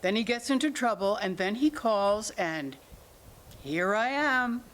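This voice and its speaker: A woman's voice: mid-range voice, expressive, unhurried, fairly bright tone. Woman acting worried mother, exasperated, insistent, reproachful, staged reading, slight background noise. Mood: weary, reproachful. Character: worried, exasperated mother of two adult children.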